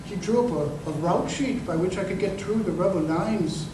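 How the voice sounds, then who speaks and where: A man playing an embittered working-class ex-corporal in an old-time radio drama, speaking haltingly and emphatically. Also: high voice, lightly acted. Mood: wistful, bitter recollection. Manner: halting, repeats words, emphatic. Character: embittered crippled ex-corporal recalling his betrayal, earnest and working-class. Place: live stage performance in a museum. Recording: echoey live performance, distant mic, noisy room.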